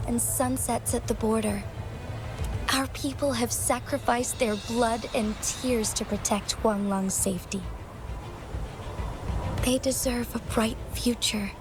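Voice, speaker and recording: An actress playing a noble young female magistrate in a video game, earnest, measured and formal. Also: a little high, fairly even intonation. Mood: resolute, earnest. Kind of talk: dramatic video game voice acting. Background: game music.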